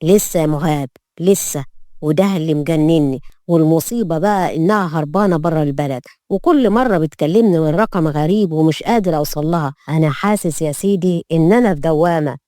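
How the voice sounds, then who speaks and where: A woman's voice: low-pitched, quick pace, mellow, no room sound, pitched below the performer's own voice, close to an everyday voice. A woman performs a wealthy Egyptian man worn down by frustration, for an audio story. He complains wearily and impatiently, in a dry recording. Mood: frustrated. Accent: Egyptian Arabic. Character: harried, frustrated wealthy businessman, impatient adult grandson.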